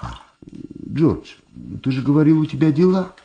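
An actor playing a sly old power broker in a 1970s Soviet radio drama, mockingly affable, unhurried and fond of repeating his words. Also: on the high side, lively intonation, warm tone, highs cut off, a little hiss or hum. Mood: falsely friendly.

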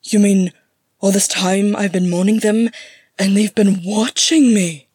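An earnest male dwarf of a fantasy tale, acted by a woman reader. He speaks with emphatic, archaic formality and awestruck bewilderment. The sound is dry and close, the delivery unhurried. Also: lowish voice, fairly even intonation, mellow, slightly rough, no room sound. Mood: stunned, emotional.

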